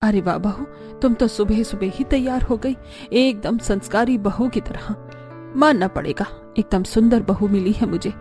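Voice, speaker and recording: A woman's voice: mid-range voice, unhurried, darkish tone, soft background music, natural voice. A woman playing a doting, homely village mother-in-law in a cartoon story, affectionate and full of praise. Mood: affectionate, instructing. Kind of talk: cartoon story dialogue acting.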